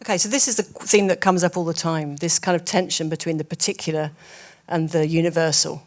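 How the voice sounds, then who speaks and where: A woman's voice: low-pitched, fairly even intonation, fairly quick. A woman chairing a symposium, polite but firm as she steers the discussion. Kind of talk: moderating a discussion.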